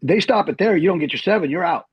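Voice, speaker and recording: A middle-aged man, an Army veteran, telling long rambling stories in an animated way as a podcast guest. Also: high-pitched, quick pace, vocal fry, husky, dry recording, highs cut off. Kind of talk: storytelling interview.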